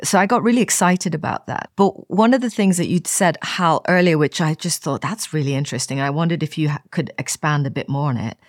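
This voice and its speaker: A host in their forties leads the conversation with eager, lively interest and a mid-range voice. The recording is dry, with almost no room sound. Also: mid-range voice, fairly quick, few pauses, dry recording. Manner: enthusiastic, lively questioning. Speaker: podcast host.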